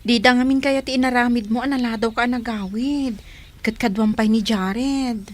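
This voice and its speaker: A woman's voice: a little high, steady tone, normal speed, natural voice. A woman with a Filipino Ilocano accent playing a frank, firm older sister in a radio drama. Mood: reproachful.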